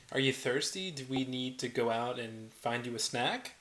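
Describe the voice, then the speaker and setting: An actor reads a jokey young woman who teases her friend in an upbeat way. The voice sits at a middle pitch, with a faint hiss underneath the recording. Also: animated, measured pace, close to an everyday voice. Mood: playful. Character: Danny, a playful, teasing young woman friend. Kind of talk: table read.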